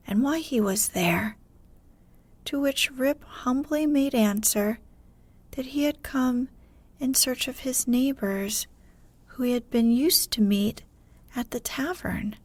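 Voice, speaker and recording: A woman narrating a bedtime story in a soothing, measured way. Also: fairly high pitch, a little mellow, dry recording. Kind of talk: slow scripted storytelling for sleep.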